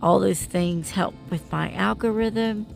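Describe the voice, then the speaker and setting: A woman's voice: medium pitch, unhurried, gravelly, faint music behind. A woman vlogger narrating her beading in a casual voiceover, with a rambling, self-deprecating way of talking and a stuffy, sniffly nose.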